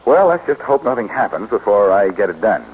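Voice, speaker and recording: An actor playing a breezy, wisecracking reformed safecracker in a 1940s radio drama. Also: male voice, lowish voice, sing-song, a little fast. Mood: charming. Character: wry, cocky male reformed thief. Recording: dull, lightly hissy old radio broadcast.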